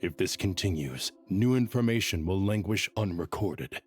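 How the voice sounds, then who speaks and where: A low-voiced, grave male scholar. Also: animated. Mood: grave, explanatory. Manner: measured, formal, articulate. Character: grave, composed male scholar, urgent under crisis. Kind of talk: scripted video game voice acting. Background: background music.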